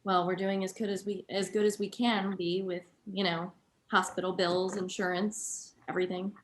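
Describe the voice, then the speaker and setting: A woman playing a stern, low-ranged daycare boss. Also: little pitch movement, moderate pace, a little hiss or hum, close to an everyday voice. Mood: wry, harried. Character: short, razor-sharp woman in her late thirties, stern daycare boss. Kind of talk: dramatic script reading.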